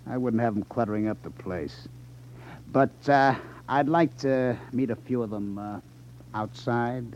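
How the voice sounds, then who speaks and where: An actor playing a tough, sly gambling club boss in a 1950s radio crime drama, with a taunting, menacing manner. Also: male voice, medium pitch, a little slow, a little mellow, close to an everyday voice. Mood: sly, insinuating. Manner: sneering, taunting, hesitates slyly for effect. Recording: dull lo-fi 1950s radio recording.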